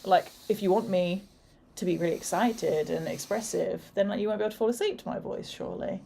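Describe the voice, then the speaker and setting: A woman with a fairly deep voice, co-hosting a casual chat in a steady, fairly even manner. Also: fairly quick, vocal fry, slight background noise. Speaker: co-host.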